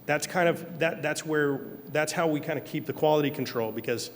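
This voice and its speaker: A man's voice: on the high side, brisk, in a large hall. A man on a discussion panel, talking casually and telling anecdotes, laughing as he goes. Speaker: panelist, kitchen operator. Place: event hall.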